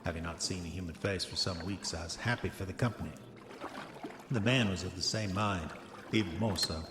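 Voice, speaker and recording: A man's voice: low-pitched, a little fast, noisy background, natural voice. An actor playing a haunted, hot-tempered prospector confessing in his diary, with formal old-fashioned, brooding delivery in a horror audio drama. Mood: solemn, recounting. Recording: echoey voice with long reverb, music behind. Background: music.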